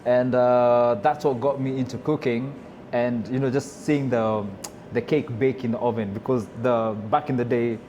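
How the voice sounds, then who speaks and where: A man's voice: mid-range voice, fairly even intonation, moderate pace, muffled recording. Kenyan man chatting over background noise. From Nairobi, Kenya. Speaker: chef and restaurant owner. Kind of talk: casual interview conversation.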